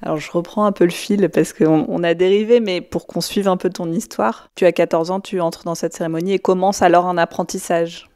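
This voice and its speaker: A woman's voice: lowish voice, steady tone, a little fast, hardly any pauses, a little mellow. A woman interviewing a guest in a conversational, attentive way, recapping and probing. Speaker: podcast interviewer.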